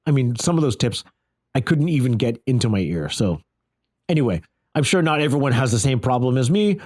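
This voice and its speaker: A man's voice: mid-range voice, lively intonation, fairly quick, darkish tone. A man giving a casual, conversational first-person gear review, repeating phrases for emphasis. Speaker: product reviewer.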